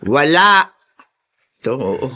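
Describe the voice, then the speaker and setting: Old filipino lola in a radio drama, sharp voice, scolds and brushes you off, pitch all over. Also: female voice, very animated, slow, heavily acted. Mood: dismissive, weary. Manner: scolding, dismissive, matter-of-fact. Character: stubborn, no-nonsense old grandmother who sews at night.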